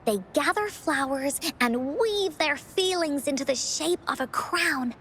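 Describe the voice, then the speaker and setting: A very high-pitched, lively little girl from a video game. She tells adventure tales with encouragement and theatrical pauses, her intonation rising and falling. There is a faint rumbling noise behind her. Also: full character voice. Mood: gentle, solemn. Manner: theatrical, deliberate storytelling with dramatic pauses. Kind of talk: video game voice acting, dramatic storytelling.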